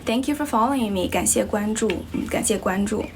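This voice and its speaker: A woman's voice: mid-range voice, brisk, slight background noise. Chinese woman chatting in accented English. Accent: Chinese-accented English with a slight American tinge. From Guizhou province, China. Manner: relaxed, chatty, many fillers like "you know" and "um". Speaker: English teacher livestreaming. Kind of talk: casual livestream chat answering viewers.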